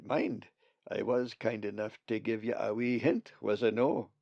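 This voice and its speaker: A man voicing a warm old male raven spirit with a broad Scottish accent, talking loud and hearty. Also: fairly low pitch, unhurried, gravelly, dry recording, dull audio. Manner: hearty, loud, dialect-heavy.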